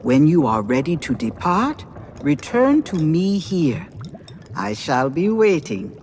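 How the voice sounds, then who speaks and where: An actress playing a calm, knowing mystical seer in a video game, speaking in a measured, portentous and formal way. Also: female voice, low-pitched, a little hiss or hum, heavily acted. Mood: knowing, welcoming. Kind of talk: video game character acting.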